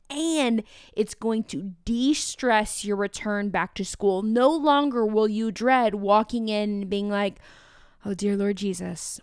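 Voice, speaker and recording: A woman hosting a podcast for teachers, talking in an energetic, chatty, enthusiastic way. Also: mid-range voice, smooth, no room sound, studio quality. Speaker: podcast host. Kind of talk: upbeat podcast talk to teachers.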